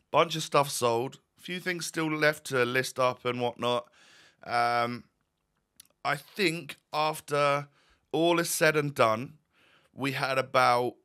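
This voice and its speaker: Croaky bright-voiced man. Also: mid-range voice. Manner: chatty, wry, with fillers and restarts. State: croaky, voice going. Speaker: gaming YouTuber. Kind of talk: casual pack-opening commentary. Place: home room, indoors.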